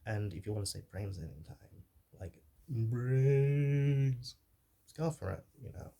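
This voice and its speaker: A man playing a shy, awkward, gentle zombie in an ASMR roleplay, speaking softly and hesitantly and drifting into whispers. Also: medium pitch, steady tone, unhurried, vocal fry. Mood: gently coaching. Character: shy, awkward, soft-hearted young male zombie.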